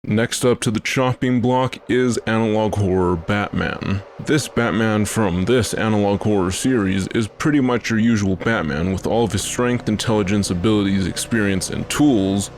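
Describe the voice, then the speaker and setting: A man's voice: lowish voice, a little hiss or hum. A man narrating a scripted video essay as its host.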